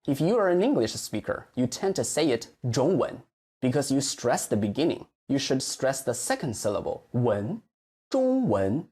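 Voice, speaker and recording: A man with a Chinese accent, a native Chinese teacher explaining pronunciation in a lesson, carefully modeling words slowly and stretching syllables. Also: medium pitch, sing-song, a little mellow, slightly rough. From mainland China.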